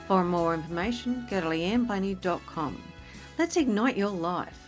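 A woman's voice: mid-range voice, animated, moderate pace, soft background music. A woman hosting a podcast interview. She reads a scripted intro, then asks chatty questions and often ends them with "isn't it?". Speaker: podcast host.

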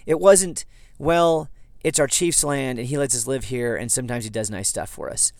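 A man with a slightly froggy, hoarse voice hosts a casual podcast, rambling and correcting himself as he goes. His pitch sits in the middle range. He records inside a vehicle, and the sound is close and dry. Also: moderate pace, a little mellow, no echo. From southeast Idaho, USA. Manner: rambling, self-correcting, conversational. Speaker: podcast host. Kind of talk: casual history podcast monologue.

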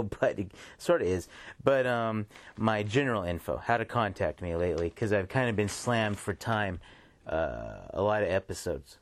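A man hosting a podcast in casual solo talk, laid-back and halting, speaking in short phrases. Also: fairly low pitch, no room sound. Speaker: podcast host.

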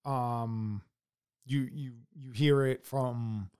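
A blunt American man holding forth in casual podcast talk, cursing freely and tripping over his own restarts. His voice sits at an ordinary middle pitch. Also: steady tone, drawn-out words, darkish tone, clean tone. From United States. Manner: blunt, heavy swearing, many restarts. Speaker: podcast co-host. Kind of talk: casual opinion chat.

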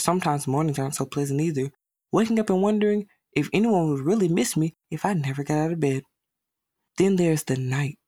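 A woman's voice: low-pitched, a little mellow, no room sound, clean studio sound. A woman performing a monologue as a lonely, self-doubting young boy, glum and self-deprecating. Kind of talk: dramatic monologue reading.

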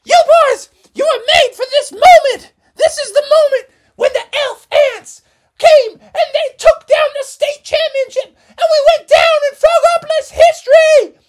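A woman storyteller voicing a giddy, overexcited team mom in a shrieking, squealing high voice. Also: moderate pace, darkish tone. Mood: rousing.

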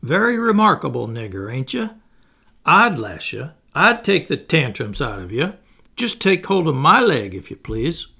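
A blustering old plantation master from an audiobook, bullying and sarcastic, as a male narrator puts him on. His voice is gravelly and pushed up higher and louder than the narration, dragging out words to mock and sermonize. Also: very animated, unhurried, heavily acted. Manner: mocking, bullying and preachy, with drawled words.

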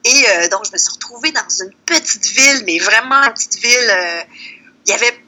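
A woman's voice: fairly high pitch, expressive, a little fast, bright, forceful tone. A woman with a Québécois accent from the Lac-Saint-Jean area, chatting informally and animatedly as she tells stories as an interview guest. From Quebec, Canada. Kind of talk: casual conversational storytelling.

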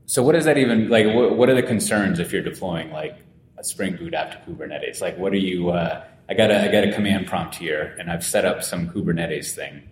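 Male host, low voice, chatty and easygoing; echoey room with a faint rumble. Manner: easygoing, chatty interviewer with quick affirmations. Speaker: podcast host. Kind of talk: casual interview. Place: conference venue.

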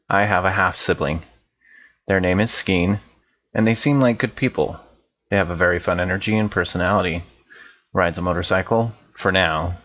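A low, slightly muffled male voice, acted by a man as a guarded guy in his thirties. He talks like he is confiding in an old buddy, informal and measured, in an audio drama monologue. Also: fairly bright tone. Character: wary, chummy man in his thirties dictating a personal message. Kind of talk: scripted in-character monologue, conversational.

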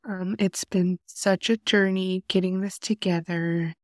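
A woman's voice: fairly low pitch, fairly even intonation, dry recording. A young woman hosting a faith podcast, sounding nasal and congested from a cold, talking earnestly into a close podcast mic. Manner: soft, unhurried, earnest. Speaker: podcast host. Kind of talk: faith podcast talk and prayer.

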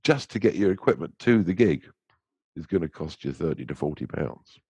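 A man's voice: sits low, expressive, moderate pace, darkish tone, husky, dry recording. An English man, a musician, chatting casually as an interview guest, rambling through anecdotes with lots of restarts. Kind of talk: casual chat.

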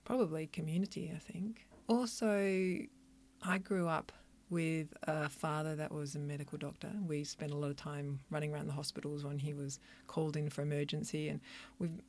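A woman who practises Chinese medicine, answering interview questions in an earnest, thoughtful, soft-spoken way. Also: low voice, level tone, moderate pace. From Australia, country Victoria.

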